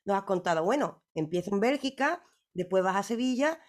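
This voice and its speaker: A woman interviewer with a voice in the middle range, talking casually. She rambles through her questions and slips in teasing comments. Her delivery is relaxed and conversational. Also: mid-range voice, brisk. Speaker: interviewer host.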